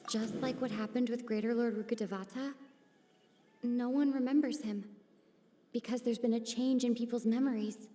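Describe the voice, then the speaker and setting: Calm scholarly game heroine, acted by a woman, mellow tone, slightly high, thoughtful, long reverb, faint noise. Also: normal speed, natural voice. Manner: thoughtful, reasoning aloud. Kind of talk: measured video game dialogue.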